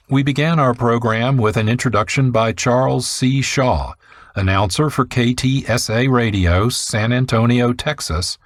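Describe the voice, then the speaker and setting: In a dry, echo-free studio sound, a man hosts a radio programme. His voice sits fairly low. He reads scripted documentary narration, setting out each phrase deliberately. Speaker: radio host and producer.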